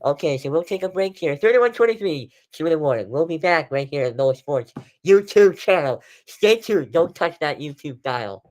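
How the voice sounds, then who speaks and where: A man's voice: fairly high pitch, moderate pace, a little mellow. Man calling football play-by-play, informally. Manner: conversational, informal. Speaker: play-by-play host of an online sports stream. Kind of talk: amateur live football commentary.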